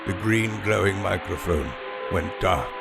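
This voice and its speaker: Low, gravelly man narrating a story. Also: unhurried, over loud music. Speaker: storyteller narrator of an audio fiction series. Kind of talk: scripted first-person literary narration.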